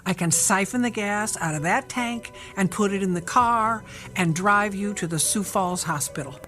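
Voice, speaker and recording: A woman playing a calm, practical, self-reliant middle-aged mother in an audio drama. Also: medium pitch, moderate pace, soft background music, close to an everyday voice. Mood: practical, reassuring.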